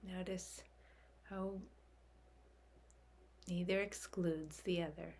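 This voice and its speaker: A woman leading a guided meditation in a gentle, soft, unhurried way, leaving long calming pauses. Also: on the low side, slight background noise.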